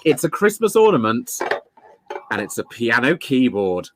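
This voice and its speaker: A man in his thirties hosting a comedy panel game over a video call from home, excitable and quick to laugh. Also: mid-range voice, noisy background. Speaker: game show host. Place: home room.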